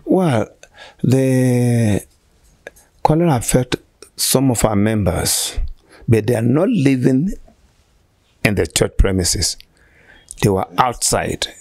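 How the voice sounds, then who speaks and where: Slow, gravelly older Nigerian man. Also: mid-range voice, animated, darkish tone, no room sound. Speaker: church shepherd being interviewed. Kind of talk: interview answers. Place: small furnished room indoors, on a sofa. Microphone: handheld interview microphone held by interviewer.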